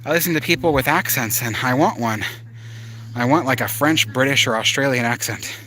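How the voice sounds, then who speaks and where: An easygoing man with a Southern Californian accent chats through a live stream from an outdoor park. His pitch sits in a middle range for a man, and his delivery is loose and conversational. He reads viewer comments aloud and riffs on each one. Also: a little fast, pushed and bright, poor audio. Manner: easygoing, chatty, with frequent fillers and restarts.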